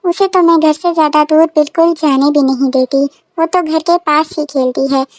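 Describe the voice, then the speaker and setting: A woman's voice: high-pitched, steady tone, moderate pace, dry recording, clean studio sound, faint music behind, natural voice. A woman voicing an anxious, loving village mother in a children's cartoon story, fretful and tender. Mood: worried, searching. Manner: fretful, tender, repetitive calling.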